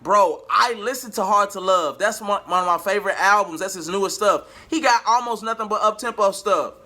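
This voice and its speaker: A man vlogging in a casual, colloquial storytelling style, animated and acting out bits of dialogue as he goes. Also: high voice, fairly quick. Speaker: vlogger and singer.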